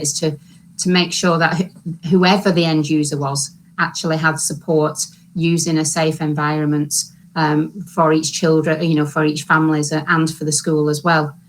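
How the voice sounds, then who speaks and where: A woman's voice: deep voice, little pitch movement, moderate pace, fairly bright tone, a little hiss or hum. A woman working as a local authority e-learning consultant, speaking conversationally in an online panel with many "sort of" fillers.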